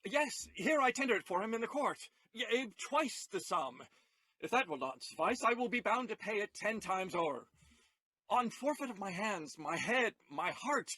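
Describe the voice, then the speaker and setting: A male actor as an impassioned young gentleman in a classical audio drama. His voice is high for a man and bright, forceful and earnest, with a loyal friend's urgency, recorded dry. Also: lively intonation, moderate pace, slightly rough, no room sound, exaggerated voice. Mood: desperate pleading. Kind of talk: audio play Shakespeare acting.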